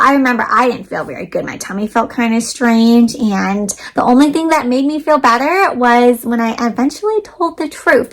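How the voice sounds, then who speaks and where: A woman hosting an interactive read-aloud for young children, speaking expressively and putting questions to her listeners. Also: fairly high pitch. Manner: animated, expressive, asks listeners questions with pauses.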